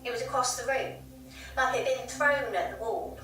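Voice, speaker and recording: A woman's voice: fairly high pitch, moderate pace, sharp tone. A woman playing an insistent, fearful pub landlady, telling of a haunting emphatically in an audio drama. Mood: haunted, intense. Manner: emphatic, dramatic, repeats herself. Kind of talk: audio drama storytelling in an interview. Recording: very echoey noisy room, distant mic.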